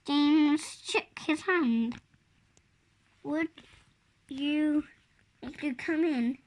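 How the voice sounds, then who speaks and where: A five-year-old child reading a picture storybook aloud in a halting, word-by-word way. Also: sits high. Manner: halting, word-by-word early reader. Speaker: child reader.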